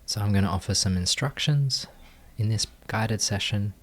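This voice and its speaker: A man leading a guided meditation, giving gentle, calm instructions in measured phrases with long pauses. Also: fairly low pitch. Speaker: meditation teacher.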